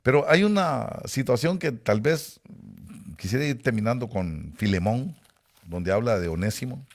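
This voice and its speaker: A male preacher with a Guatemalan Spanish accent, delivering a sermon in an animated storytelling way. His voice sits at an ordinary middle pitch and jumps up sharply when he gets carried away. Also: normal speed. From Costa Rica. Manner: animated storytelling with sudden rises in pitch and volume. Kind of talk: sermon with personal anecdotes.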